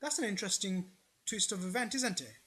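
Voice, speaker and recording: An online presenter talks through a comparison in an informal, explanatory way. The delivery is opinionated and emphatic, with frequent check-ins to the listener. The voice sits at a middling pitch. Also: a little fast, pushed and bright.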